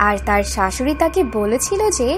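High, lively female storyteller. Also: fairly quick, soft background music. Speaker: narrator. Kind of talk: dramatic scripted fairy-tale narration.

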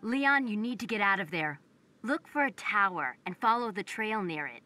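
An actress playing a brisk mission support operator in a video game, speaking in an urgent, businesslike manner. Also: female voice, mid-range voice, lively intonation, pushed and bright. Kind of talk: video game cutscene acting.

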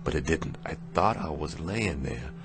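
Gravelly, battered aging boxer. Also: male voice, extremely low pitch, animated. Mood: shaken, insistent. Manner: working-class slang, emotional, halting. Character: battered, weary, embittered aging boxer, desperate and intense. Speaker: actor. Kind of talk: dramatic radio play acting. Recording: radio drama with music underneath.

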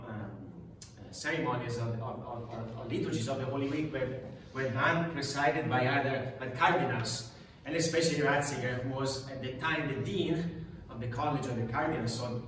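A middle-aged priest speaks from the front of a classroom, circling back and repeating words as he searches for phrasing. He sits at a middle pitch and is picked up from across the room. The sound is very echoey, with a faint hum behind it. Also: distant mic. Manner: halting, many restarts and tag questions. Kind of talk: parish talk on prayer. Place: parish classroom. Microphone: far camera.

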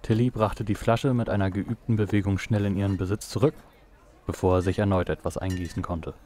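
A male narrator reads a noir audio drama from a script. His voice is on the low side, in the manner of detective fiction storytelling. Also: fairly even intonation, fairly quick, warm tone.

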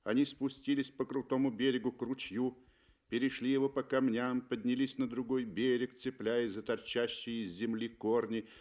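A man reading literary prose as a narrator in measured, dramatic 1960s Soviet radio style. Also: medium pitch, level tone, darkish tone.

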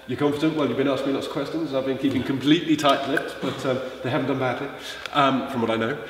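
A middle-aged man with a cold, his voice a bit gravelly, hosts a chatty interview at a quick pace, full of ums and light jokes. He is recorded in a large indoor car showroom on a lapel mic. The hall echoes heavily around him, with faint noise underneath. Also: mid-range voice, fairly even intonation. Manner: chatty, joking, hesitant with many ums. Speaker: magazine interviewer. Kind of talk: casual interview.

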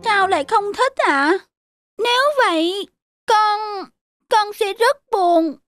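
A woman's voice: extremely high pitch, takes it slowly, fairly bright tone, no echo, clean studio sound, soft background music, heavily acted. A woman voicing a small, stubborn, emotional young boy in a cartoon, with childlike pleading. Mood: earnest, upset. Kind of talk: cartoon voice acting.

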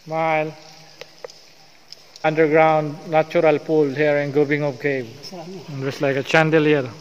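A man's voice: fairly high pitch, flat delivery, unhurried, lo-fi sound, slight background noise. Filipino man guiding a cave tour. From Philippines. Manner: coaxing, mixing English and Tagalog. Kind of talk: guided tour narration. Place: inside a large cave.

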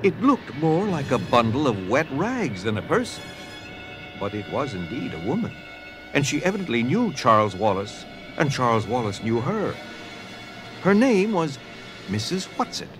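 A man narrates a dramatized story from a script. His mid-range voice is lively and expressive, with music clearly behind it. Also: mid-range voice, moderate pace.

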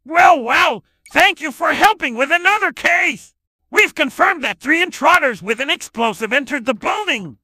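A man voicing an excitable, urgent cartoon police chief in a video game. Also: very high voice, moderate pace, no echo, clean studio sound, heavily acted. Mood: brisk, excited.

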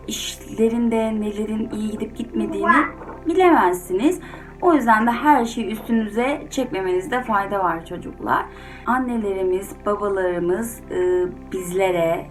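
A woman hosting children's stories, speaking informally and directly to young listeners with gentle advice. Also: mid-range voice, low-quality recording. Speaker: children's storyteller and channel host. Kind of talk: children's story reading, then informal address to children. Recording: music under the voice. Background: music.

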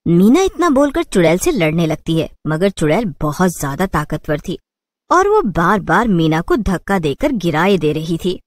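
A woman narrates a children's cartoon story. Her voice is fairly low with lively intonation, and the recording is close and dry. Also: normal speed, dry recording.

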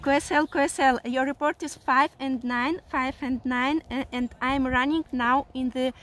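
A woman in her thirties with a Russian accent, talking in simple, halting English as a vlogger and amateur radio operator. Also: high-pitched, fairly even intonation, a bit bright. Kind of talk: vlog talk and radio contacts. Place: outdoors on an open sea beach. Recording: faint outdoor ambient noise.

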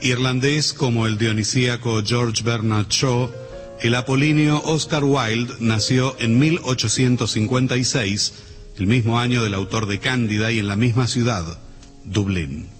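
Man, Argentine accent, radio theatre presenter, formal literary narration, measured and solemn, music behind. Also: mid-range voice, steady tone. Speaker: presenter introducing a radio play.

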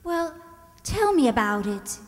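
A concerned, placating older sister in an old-time radio mystery, acted by a woman on stage. Her voice is high and very expressive, coaxing as she goes. It rings in an echoey hall. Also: measured pace. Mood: alarmed, placating. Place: stage in a community hall, stand microphone.

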